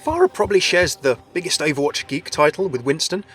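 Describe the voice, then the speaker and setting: A man's voice: on the high side, very animated, brisk, a bit bright, faint music behind. A man hosting a scripted game lore video, chatty and often addressing his viewers directly.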